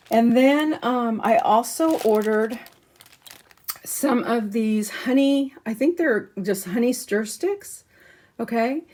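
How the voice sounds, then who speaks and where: A woman talking casually through a craft haul, losing her voice a little and clearing her throat, with frequent ums as she shows each item. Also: mid-range voice, darkish tone. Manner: chatty, many ums, showing items up close. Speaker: crafting channel host. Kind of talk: casual haul chat to camera.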